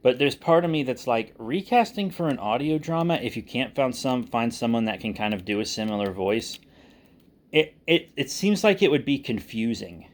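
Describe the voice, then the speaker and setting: A man's voice: mid-range voice, animated, moderate pace. Candid, self-deprecating man talking off the cuff. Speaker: audio drama creator and channel host. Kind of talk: casual unscripted vlog talk.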